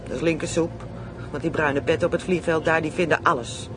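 A man acting in a radio play as a brash, greedy criminal, slangy, boastful and excitable. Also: a little fast, natural voice. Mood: gleeful, boastful. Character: brash, greedy, playful Amsterdam criminal plotting kidnappings. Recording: radio play with clear vehicle rumble.

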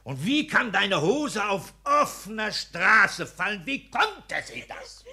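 Forceful, husky, pompous petty official. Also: male voice, high voice, sing-song, takes it slowly, heavily acted. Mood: indignant ranting. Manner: ranting, pedantic, self-pitying, declamatory. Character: petty, pompous, tyrannical civil servant husband, indignant. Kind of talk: 1960s German radio play acting.

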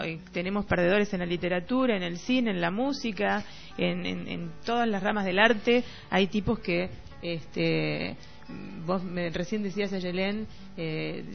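A woman radio host with a gravelly edge and a Rioplatense Argentine accent. She chats about literature in a halting way, circling back and repeating herself as she hunts for words. The sound is slightly muffled, with faint music underneath. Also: on the low side. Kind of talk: casual literary radio chat.

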